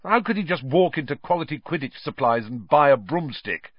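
A fervent teenage boy captain, acted by a man reading a storybook, swings high and loud with obsessive zeal. The recording is dry and slightly dull. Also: big pitch swings, normal speed, husky, no room sound. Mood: incredulous, urgent. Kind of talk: audiobook character acting.

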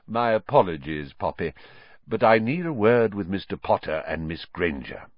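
Guy doing a wise old wizard headmaster for a storybook, quiet but in charge, dry close sound. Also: medium pitch, very animated, no echo, dull audio, pitched above the performer's own voice. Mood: calm. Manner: quiet, measured, authoritative.

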